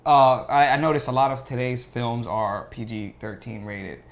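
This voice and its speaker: A man's voice: medium pitch, moderate pace. A man chatting casually as a guest host on a talk show. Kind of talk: casual chat.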